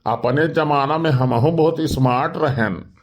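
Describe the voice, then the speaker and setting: A man acts a village neighbour in an animated comedy, forever justifying himself. He speaks slowly in a slightly high voice with an Awadhi accent. His manner is boastful and argumentative. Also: muffled recording. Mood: boastful, protesting. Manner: protesting, boastful.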